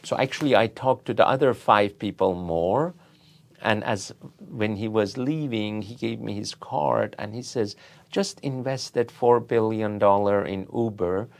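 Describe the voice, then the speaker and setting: A middle-aged or older man from Iran speaking as an interview guest, telling anecdotes in a halting, deliberate way. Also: fairly low pitch, gravelly. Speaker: interview guest, tech company founder and CEO.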